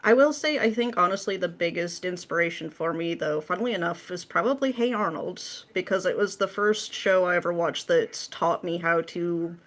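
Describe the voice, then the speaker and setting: A woman's voice: fairly low pitch, normal speed, few pauses, a bit bright. A woman speaking as a podcast guest in a casual, candid, chatty and informal way. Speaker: podcast guest, script writer. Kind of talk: casual interview chat.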